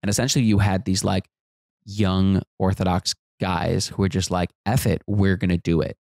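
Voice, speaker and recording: A man in his thirties, a comedian guest, telling animated jokey stories on a podcast couch into a close boom mic. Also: moderate pace, vocal fry, dry recording. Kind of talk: casual storytelling chat. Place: living room studio with couch.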